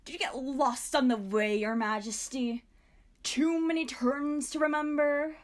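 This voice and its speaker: Scheming court troublemaker female actor, audio drama. Highish pitch, drawn-out delivery, haughty taunts. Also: fairly even intonation. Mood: mocking. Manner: sneering, taunting. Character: contentious, mocking royal cousin pushing for war.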